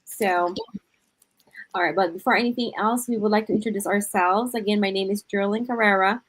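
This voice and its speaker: A woman's voice: medium pitch, steady tone, fairly quick. A woman from the Philippines with a Filipino accent, casually hosting an online livestream. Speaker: host.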